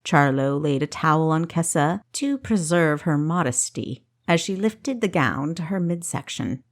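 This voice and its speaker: A woman's voice: low voice, lively intonation, measured pace. A woman reading scripted fiction as an audiobook narrator.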